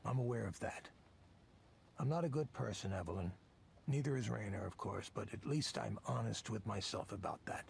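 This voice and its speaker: An actor playing a weary, self-loathing middle-aged tech visionary in a visual novel, with a measured, confessional delivery. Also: male voice, fairly low pitch, a little mellow, slight background noise. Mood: resigned. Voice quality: gravelly, creaky. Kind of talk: dramatic visual novel voice acting.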